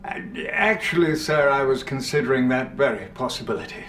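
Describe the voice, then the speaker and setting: Man playing a measured, slightly distant British commander. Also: mid-range voice, fairly bright tone, a little hiss or hum, lightly acted. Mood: deferential. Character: restrained, dry British military commander. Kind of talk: video game cutscene acting.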